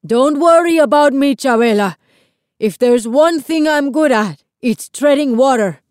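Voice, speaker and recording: An elderly Cuban grandfather acted by a woman narrator speaks slowly in an audiobook story. He is earnest and emotional, weighed down by remorse yet tender and resolute. The sound is dry and close. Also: mid-range voice, mellow, no room sound, close to an everyday voice. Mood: urgent farewell. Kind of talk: audiobook character dialogue.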